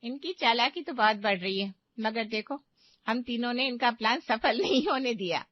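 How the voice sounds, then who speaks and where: A woman's voice: fairly high pitch, moderate pace, no echo, natural voice. Sarcastic, melodramatic mother-in-law for a cartoon, acted by a woman, middle-aged, dry muffled sound. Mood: smug. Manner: taunting, melodramatic. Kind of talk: cartoon moral story acting.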